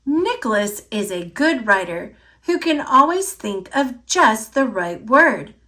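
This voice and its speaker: A woman reading a picture book aloud for children, with deliberate, clearly phrased, expressive narration. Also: on the high side.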